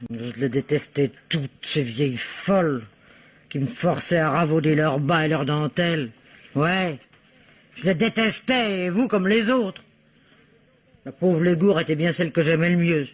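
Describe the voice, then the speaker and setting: An actress playing a spiteful seamstress murderess, blunt and mocking, in a vintage French radio mystery. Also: female voice, low-pitched, unhurried, husky. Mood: bitter. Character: spiteful seamstress murderess, brazenly confessing her crimes. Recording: dull old radio drama recording.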